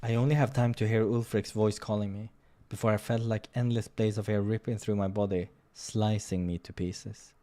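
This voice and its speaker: A man's voice: fairly low pitch, little pitch movement, moderate pace, dark tone. A man hosting a visual novel playthrough, reading narration dramatically and commenting casually on the game. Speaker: gaming playthrough host.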